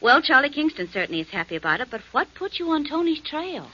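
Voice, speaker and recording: An actress playing a sharp-witted, sarcastic woman who is the detective's companion in a 1940s radio drama, recorded on a muffled old broadcast. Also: high voice, very animated, fast, full character voice. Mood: teasing. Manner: dry, teasing, sarcastic.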